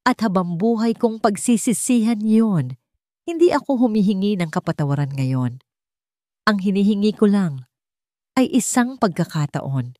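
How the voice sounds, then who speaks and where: A woman voicing a remorseful husband and gentle father in an audio drama, speaking earnestly and pleadingly, choosing words with care. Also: fairly low pitch, no echo, clean studio sound, close to an everyday voice.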